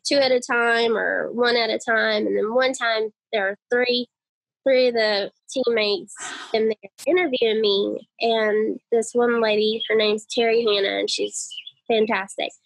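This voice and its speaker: A woman speaks in a high, girly voice, telling her story with chatty enthusiasm. She is a guest in a casual podcast interview. The sound is dry and close. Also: steady tone, moderate pace, vocal fry, no room sound, rough recording.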